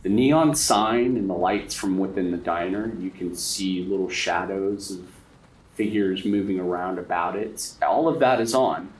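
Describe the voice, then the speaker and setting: A man with a very low voice runs a horror tabletop game as game master. He narrates slowly and dramatically, repeating phrases for suspense. The recording is roomy, a bit off the mic, with faint noise. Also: far from the mic.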